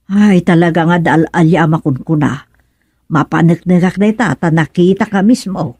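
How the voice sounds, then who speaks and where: A woman's voice: lowish voice, moderate pace, a little mellow, breathy edge, dry recording. Fretful Ilocano village mother. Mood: fretful, insistent. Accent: Filipino, Ilocano. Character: worried, superstitious village mother and wife. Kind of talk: radio comedy-drama acting.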